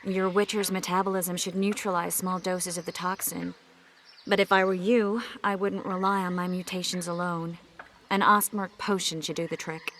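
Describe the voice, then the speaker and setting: A woman playing a learned sorceress healer in a video game, explaining things in a measured, frank way. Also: fairly low pitch, little pitch movement, a bit bright, slight background noise. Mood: cautioning.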